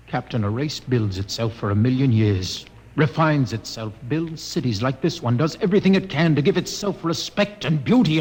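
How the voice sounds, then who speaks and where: A man's voice: medium pitch, darkish tone, slightly rough. Earnest, brooding spaceman in reverb. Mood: ashamed, bitter. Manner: grave, halting, impassioned. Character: earnest, brooding male expedition spaceman, idealistic and bitter. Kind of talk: radio drama acting.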